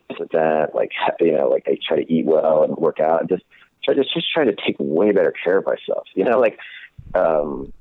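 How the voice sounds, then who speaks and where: A young adult man in casual conversation as a guest, talking haltingly with many fillers and restarts. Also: a bass voice, no echo. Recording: tinny phone line.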